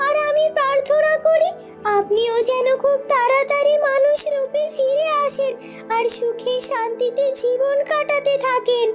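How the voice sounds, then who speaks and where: A woman voicing a gentle, grateful serpent queen in a cartoon story. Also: very high voice, steady tone, unhurried, loud music behind the voice. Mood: gentle, earnest. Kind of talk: dramatized cartoon story dialogue.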